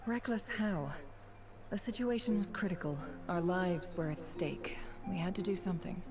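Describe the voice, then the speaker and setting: Actress as a cool, low-voiced composed woman. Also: moderate pace, music in the background, lightly acted. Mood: dry, composed. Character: composed, dryly unrepentant injured young woman. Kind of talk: scripted game voice acting.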